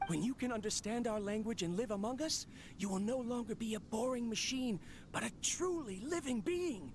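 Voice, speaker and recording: Young ambitious inventor, high animated voice, hissy tape recording. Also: male voice, moderate pace. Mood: eager. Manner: eager, musing, talking to his machine. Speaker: expelled researcher on a tape. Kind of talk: video game voice acting.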